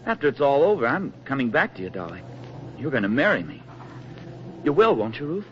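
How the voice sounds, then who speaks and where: A man plays a young American soldier, boyish and affable, in old-time radio drama style. His mid-pitched voice is earnest and folksy, on a dull vintage recording with faint noise. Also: sing-song, brisk, close to an everyday voice. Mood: urgent, loving. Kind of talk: 1940s radio acting. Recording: muffled, noisy 1940s radio recording.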